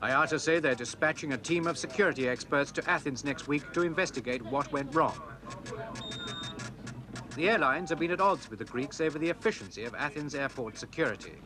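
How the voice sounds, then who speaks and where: A man's voice: on the high side, brisk, music in the background. A man narrating a plain, factual scripted news report.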